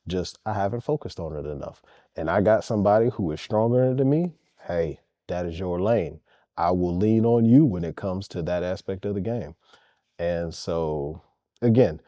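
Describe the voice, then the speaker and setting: Deep, dark-voiced man, earnest coach. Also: dry recording. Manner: earnest and emphatic, repeats key points. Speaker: head basketball coach. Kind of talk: interview answers.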